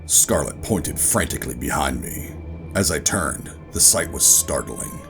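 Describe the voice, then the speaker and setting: An actor playing a gruff, guarded covert operative who narrates a sci-fi horror audio drama in a brooding, deliberate way. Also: male voice, rumbling low, expressive, fairly bright tone, faint music behind, full character voice. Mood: brooding, ominous. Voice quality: gravelly. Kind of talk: first-person scripted audio drama narration and dialogue.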